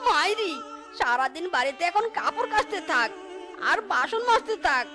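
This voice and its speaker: A woman's voice: extremely high pitch, expressive, normal speed, over music. A woman voicing an exasperated, henpecked fox husband with melodramatic, whining complaints in a cartoon. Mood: self-pitying. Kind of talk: Bengali cartoon dialogue.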